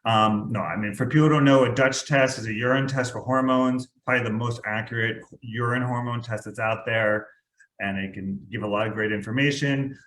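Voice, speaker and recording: A man's voice: medium pitch, steady tone, fairly quick, a bit bright, reverberant, slight background noise, rough recording. A male podcast host asking a guest questions in a rambling, casual way. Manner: rambling, restarts questions, laughs lightly. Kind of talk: interview podcast, conversational questions. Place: New York.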